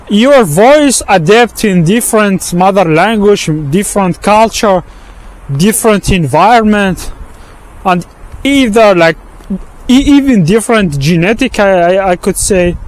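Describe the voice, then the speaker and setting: Slow, halting man with an Arabic accent. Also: high voice, rough recording. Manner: halting, many restarts and repeated words. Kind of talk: walking-and-talking vlog monologue. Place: outdoors on a street with passing traffic.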